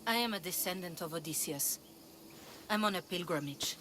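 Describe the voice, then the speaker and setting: A woman's voice: fairly low pitch, normal speed, bright, forceful tone. A woman voicing a proud, spirited young pilgrim in a cinematic video game, self-assured and passionate. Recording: outdoor ambience noise behind voice. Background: ambient outdoor noise.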